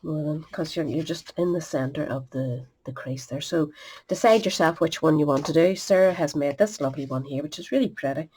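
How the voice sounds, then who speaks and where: A woman's voice: low voice, a little fast, warm tone, a little hiss or hum. Chatty woman crafting, sniffly. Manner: chatty and homely, muttering asides under her breath. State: sniffly with a cold. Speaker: craft tutorial host. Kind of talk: step-by-step crafting demonstration.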